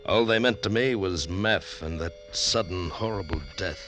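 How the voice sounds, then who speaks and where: A hard-bitten adventurer, deep-voiced and terse. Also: male voice, moderate pace, a bit bright, slight background noise. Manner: terse, clipped first-person narration. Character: hard-bitten male adventurer narrating his ordeal. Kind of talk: 1940s radio acting. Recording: old radio drama with music under it.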